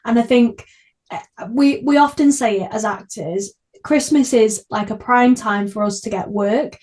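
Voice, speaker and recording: Female podcast host, fairly high voice, earnest and effusive, informal interview chat. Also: measured pace, darkish tone.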